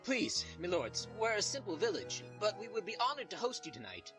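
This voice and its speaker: Male actor as humble peasant for audio drama; pleading, very animated intonation, slightly distant. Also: sits high, a little fast, music in the background. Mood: grateful, pleading. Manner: pleading, deferential. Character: humble, grateful middle-aged male villager. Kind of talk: fan audio drama acting.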